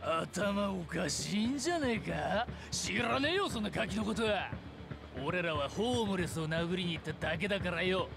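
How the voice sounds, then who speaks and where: A young gang punk from a crime game, rough and slangy. His voice is high and jumps all over in pitch, with loud music behind it. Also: lively intonation, normal speed. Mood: cocky, sneering. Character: cocky young street gang punk, cowardly underneath. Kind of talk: video game cutscene voice acting.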